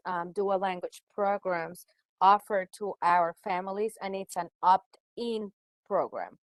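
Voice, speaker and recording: A woman's voice: lowish voice, no echo. Spanish-native woman presenting, dry sound. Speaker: dual language school educator presenting. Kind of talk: webinar presentation.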